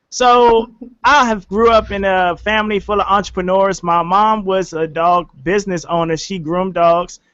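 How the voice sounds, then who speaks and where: A man's voice: high voice, a bit bright, clear, resonant tone, no echo, dull audio. A man introducing himself on a casual online group call in a laid-back, informal and friendly way. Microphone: computer mic on a video call.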